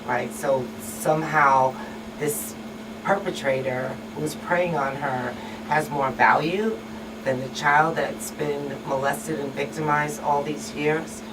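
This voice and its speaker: A woman with a very deep voice argues indignantly in casual panel talk, capping points with short tag questions. She is picked up from afar amid clear air-conditioning noise. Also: fairly even intonation, slow. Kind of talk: casual, opinionated discussion. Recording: distant mic, loud air-conditioning noise.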